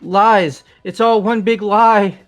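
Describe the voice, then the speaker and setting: A man playing an excitable, bewildered young man in a fan-voiced game. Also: high voice, slow pace, soft background music. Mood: shocked denial. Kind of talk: fan dub of a visual novel game.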